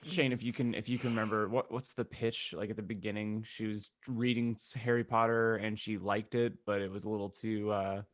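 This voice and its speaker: A man's voice: fairly low pitch, fairly even intonation, fairly quick, few pauses. A man in casual, joking chat as a podcast host.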